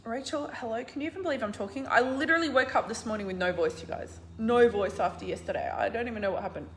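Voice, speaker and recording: A middle-aged woman business coach with a hoarse, husky, croaky voice, talking casually and emphatically to a live audience. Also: fairly high pitch, fast, a little hiss or hum. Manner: enthusiastic, emphatic, swings from loud to quiet. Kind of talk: casual livestream talk.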